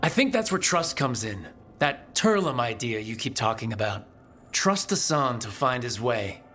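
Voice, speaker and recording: A steady fantasy game hero with a medium-pitched voice. He talks casually, with a dry, supportive manner. Soft music sits behind the dialogue. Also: moderate pace. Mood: reassuring. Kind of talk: video game dialogue acting.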